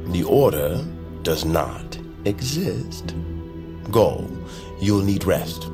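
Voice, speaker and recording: A scheming master of assassins in a fantasy audio drama, played by a man, speaking slowly and conspiratorially. His delivery swings widely and theatrically through a measured, grave performance, with music underneath. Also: low-pitched, big pitch swings, heavily acted. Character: scheming bird-folk master of a secret assassin order. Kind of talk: dramatic audio fiction acting.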